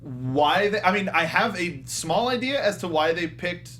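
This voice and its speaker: A man hosting a casual movie review panel, talking in a halting, jokey way. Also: high voice, expressive, fairly bright tone, slight background noise. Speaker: host.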